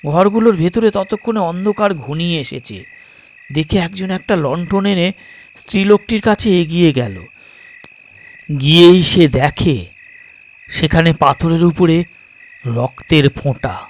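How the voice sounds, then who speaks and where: A man reading a suspense story as a dramatic audiobook narrator, in measured, deliberate phrases with pauses. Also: fairly high pitch, expressive.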